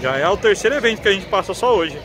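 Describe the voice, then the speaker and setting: A man's voice: high voice, expressive, rapid-fire. A man in his thirties with a Brazilian Portuguese accent, casually vlogging outdoors from a phone at arm's length. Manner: chatty, pointing things out while walking. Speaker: travel vlogger. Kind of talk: casual vlog narration. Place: outdoors in a busy town square and park. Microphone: phone held at arm's length. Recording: crowd and street noise.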